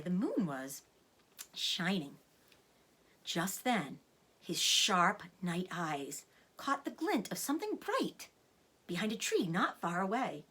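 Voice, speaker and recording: A woman's voice: mid-range voice, fairly bright tone. A woman reading a children's story aloud like a teacher, with dramatic, suspenseful narration.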